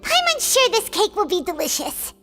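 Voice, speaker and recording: A woman voicing a tiny, excitable floating female companion who chirps and talks about herself in the third person. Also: very high-pitched, moderate pace, exaggerated voice. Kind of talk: video game voice acting. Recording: game audio with reverb and music.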